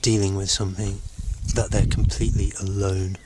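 Halting, low-voiced man musing outdoors. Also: flat delivery. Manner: halting, searching for words, musing. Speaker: vlogger. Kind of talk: rambling reflective monologue to camera. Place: outdoors in open countryside. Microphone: handheld camera at arm's length. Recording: noisy outdoor camera recording. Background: birds and outdoor noise.

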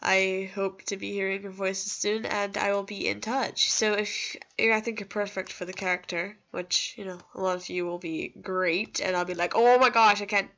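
A woman's voice: medium pitch, steady tone, normal speed, hardly any pauses, fairly bright tone, lo-fi sound. A young woman chatting casually over gameplay, rambling, self-deprecating and reassuring, recorded on a home computer microphone. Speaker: gaming video creator.

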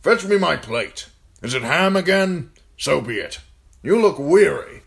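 A man voicing a gruff older military commander in an audiobook, talking bluntly and firing off needling questions. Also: a little high, expressive, unhurried, a bit bright, voice pushed up, heavily acted. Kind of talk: audiobook character voice.

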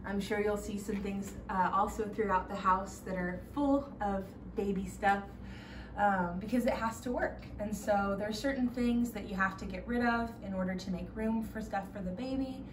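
A woman's voice: mid-range voice, fairly even intonation, normal speed, background noise. Young woman chatting through a home tour. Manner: upbeat and chatty, walking while talking. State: pregnant. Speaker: stay-at-home mom giving a home tour. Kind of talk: casual home tour vlog. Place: small home with kitchen, nursery and bathroom. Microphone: camera a few steps away. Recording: roomy, slightly distant sound, faint ambience.

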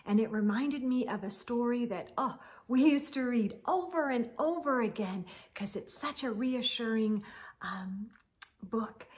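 A middle-aged woman reads and talks to young children as a storytime host. Her voice is high and strongly animated, and she takes her time, stretching words for expression. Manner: animated, gentle asides, drawn-out words. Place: indoor room lined with bookshelves.

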